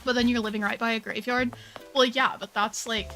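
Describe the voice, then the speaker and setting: Young female streamer, chatty and bubbly, slightly worn voice, soft game music under her. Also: fairly high pitch, steady tone, a little fast. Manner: chatty, bubbly, says "like" a lot. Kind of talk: casual game stream chat.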